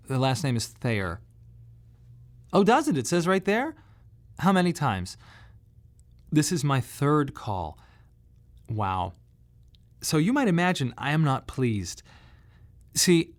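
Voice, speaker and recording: An actor playing a grieving father, speaking in a measured, wry radio monologue with pointed pauses. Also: fairly high pitch, natural voice. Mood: bitter, restrained. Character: grieving bereaved father, wry, tense and plain-spoken. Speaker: narrator and lead of a monodrama. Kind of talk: dramatic first-person radio monologue.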